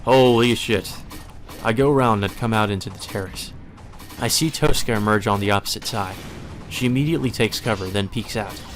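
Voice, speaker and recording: Low-voiced man reading a visual novel aloud. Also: fairly quick. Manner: informal, swears in asides. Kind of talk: narrated read-through with casual side comments. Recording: music playing behind the voice. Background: background music.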